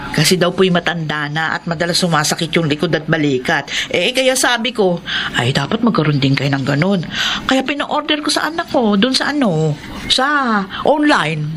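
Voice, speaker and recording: A woman's voice: medium pitch, a bit bright, a little hiss or hum, close to an everyday voice. A woman playing a chatty, meddling middle-aged housemaid in a radio drama, breezy and insistent. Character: chatty, cheeky, meddling housemaid, mother of a student. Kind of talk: Tagalog radio drama acting.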